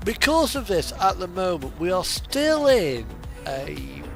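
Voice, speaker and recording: A man rambling casually as he streams, speaking haltingly with pauses mid-sentence. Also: sits high, wildly swooping pitch. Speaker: game streamer. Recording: electronic music playing behind the voice. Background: non-stop music from in-game radio, vehicle sounds.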